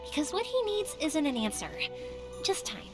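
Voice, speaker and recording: A woman voicing a cheerful, eager young fireworks maker in a video game, with a bubbly, animated delivery. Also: sits high, normal speed, loud music behind the voice. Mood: earnest.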